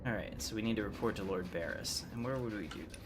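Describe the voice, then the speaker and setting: A man with a fairly low voice chats through a game livestream. He rambles easily and conversationally, with clear noise and game ambience behind him. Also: fast talker. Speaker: game streamer, host. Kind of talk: casual livestream chat with game commentary.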